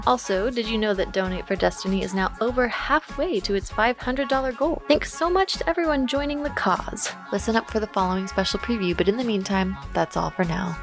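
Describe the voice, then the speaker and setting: Woman reading a podcast outro over music. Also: mid-range voice. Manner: friendly, read-aloud thanks. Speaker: podcast host. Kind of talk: scripted show outro.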